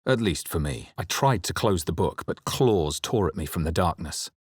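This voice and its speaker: A man playing a grave fantasy game adventurer, with solemn, measured, dramatic delivery as he narrates his journey. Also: sits low, lively intonation, husky, no echo. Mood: solemn, intent. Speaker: video game player-character voice.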